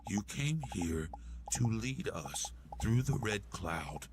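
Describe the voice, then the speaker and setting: A man voicing a fervent male cult devotee who speaks in a hushed, half-whispered way. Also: lowish voice, very animated, slow pace, noisy background, full character voice. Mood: insistent.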